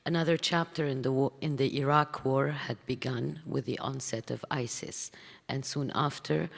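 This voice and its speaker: Female speaker, creaky and deliberate, delivering a prepared paper in a reverberant hall. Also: rumbling low. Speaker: speaker reading another writer's paper. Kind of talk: formal talk read aloud from a written text. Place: conference hall, public event. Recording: echoey hall with long reverb.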